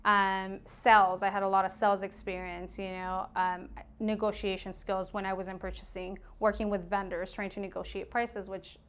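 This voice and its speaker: A woman's voice: mid-range voice, little pitch movement, moderate pace, fairly bright tone, clean tone. A woman in her thirties from Arizona, a real estate agent talking openly and chattily as a podcast guest. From Arizona, USA. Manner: open, chatty, laughs easily. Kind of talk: casual podcast interview.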